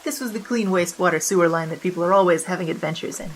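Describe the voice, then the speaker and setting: A woman's voice: on the low side, racing, slight background noise, natural voice. A woman voicing a flippant, brazen female bandit who narrates her confession in an audio drama. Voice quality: flippant, breezy.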